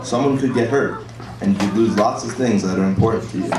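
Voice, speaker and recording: A man voicing a calm firefighter puppet who gives plain, authoritative advice in a children's safety show. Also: fairly low pitch, quick pace, over music, natural voice. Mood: calm, instructive. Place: live show in a room, recorded from the audience. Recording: echoey distant live show with crowd.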